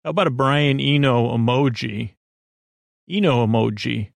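A man hosting a bedtime podcast, talking in a creaky voice and wandering through tangents with many fillers. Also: medium pitch, moderate pace, dry recording, studio quality. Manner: meandering, full of tangents and fillers. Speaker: podcast host. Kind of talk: rambling bedtime podcast intro.

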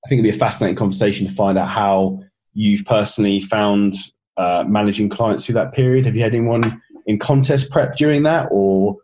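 A man hosting a fitness podcast, chatting in a friendly, halting way with plenty of fillers. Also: lowish voice, mellow. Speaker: podcast host and fitness coach. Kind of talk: casual podcast interview.

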